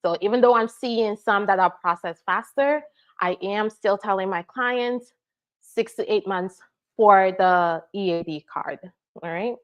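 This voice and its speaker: Woman, from Nigeria, immigration attorney, live Q&A, mid-pitched voice, checks in often, slightly muffled audio. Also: unhurried. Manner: explanatory, frequent "right?" and "all right?" check-ins. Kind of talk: live Q&A answering viewer questions.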